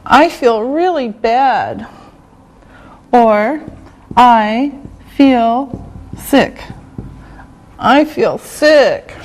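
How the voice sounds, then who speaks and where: Woman teacher, slightly high voice, very slow and deliberate, repeats every phrase. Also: animated. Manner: slow, drawn-out repetition of words. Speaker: English teacher. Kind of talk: slow classroom vocabulary lesson.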